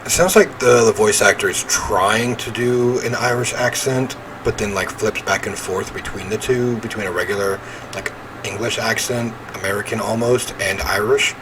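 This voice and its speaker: A man reading visual novel narration aloud in an expressive storytelling way, with casual commentary. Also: lowish voice, normal speed, noisy background. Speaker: video game let's player.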